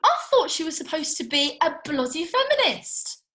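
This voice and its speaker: A woman's voice: high-pitched, sing-song, normal speed, sharp tone, exaggerated voice. A woman acting a feisty, blunt young working-class woman in a comedy script read, colloquial and sweary. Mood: indignant.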